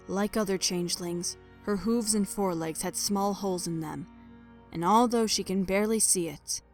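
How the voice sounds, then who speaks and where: A woman reading scripted story narration in a dramatic audio fiction style. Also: on the low side. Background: music.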